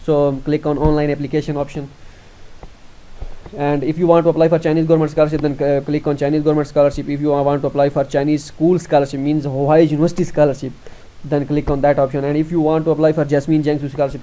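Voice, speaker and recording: Young pakistani-accented guy walking through a form, lots of ums and restarts, flat and mellow. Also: on the high side, poor audio. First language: Urdu. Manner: halting, with many fillers and restarts. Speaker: tutorial presenter. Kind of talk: screen-recorded walkthrough.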